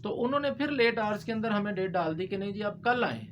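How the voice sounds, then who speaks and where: Man, high-pitched, lawyer, explanatory legal talk, English terms mixed in, faint noisy background. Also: expressive, fast talker, fairly bright tone. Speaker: lawyer explaining case procedure. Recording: clear background noise.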